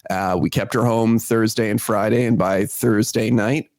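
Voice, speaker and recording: A man's voice: fairly low pitch, fairly even intonation, moderate pace, a little mellow, no room sound, clean studio sound. A Canadian man co-hosting a podcast in casual chat, congested with a cold, often repeating his phrases. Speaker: podcast co-host.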